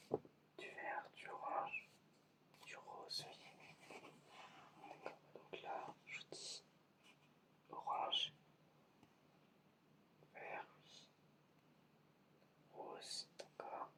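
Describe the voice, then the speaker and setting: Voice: drawn-out words, long pauses, distant mic. An ASMR creator whispering through a playful eye-test roleplay, asking patient, mock-puzzled questions. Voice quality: whispered, unvoiced.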